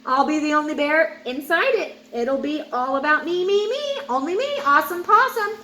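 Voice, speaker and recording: A woman's voice: high voice, animated, normal speed, pushed and bright, echoey room, a little hiss or hum, voice pushed up. A woman voicing an upbeat, self-important, breezy bear from a children's storybook. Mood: smug delight. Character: self-absorbed, upbeat bear posing as a shark.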